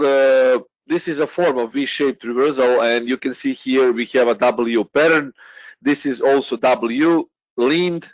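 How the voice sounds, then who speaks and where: A man's voice: medium pitch, no echo. Hesitant male trader walking through charts, lo-fi dry webinar sound. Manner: hesitant, many "uh" fillers, walks through a chart. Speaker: day trader. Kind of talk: explaining trading charts. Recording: dull lo-fi webinar audio, dry.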